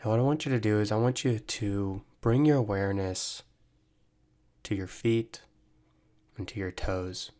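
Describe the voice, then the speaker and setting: A man guiding a body scan meditation, giving gentle, measured instructions with long pauses. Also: fairly low pitch. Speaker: meditation guide, mental performance coach.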